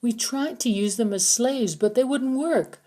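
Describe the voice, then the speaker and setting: A woman plays a desperate male prisoner from a zombie adventure audiobook. His voice is pushed high and insistent, pleading and accusing as he argues for himself. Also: a little slow, dark tone, lightly acted. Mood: panicked pleading. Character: frantic, pleading captive man, a wasteland scavenger.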